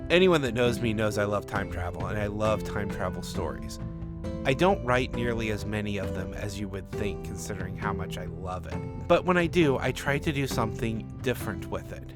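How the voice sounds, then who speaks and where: A man's voice: fairly low pitch, lively intonation, normal speed, over music. A man working as author and narrator, reading stories and commenting on his writing.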